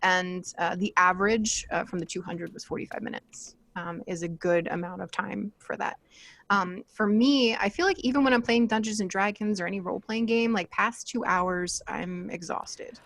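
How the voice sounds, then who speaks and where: A woman hosting a casual panel as a podcaster, speaking informally and telling things in an animated, conversational way. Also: mid-range voice, normal speed. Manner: informal, animated storytelling with "like" and "you know".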